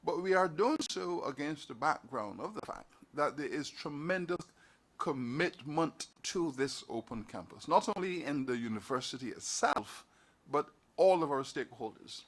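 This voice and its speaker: Man, from Barbados, formal council address, measured oratory, repeated phrases, mid-pitched voice. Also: fairly bright tone. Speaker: university leader giving an address. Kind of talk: formal speech at a council meeting. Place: meeting hall.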